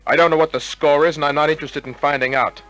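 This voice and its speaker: An actor playing a wisecracking, suspicious private detective in 1950s radio crime drama style, with clipped, sardonic tough talk. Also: male voice, on the high side, fairly even intonation, fast talker, a bit bright, lightly acted, rough recording. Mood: tough, wary. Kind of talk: 1950s radio crime drama acting and first-person narration. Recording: old radio drama recording with music.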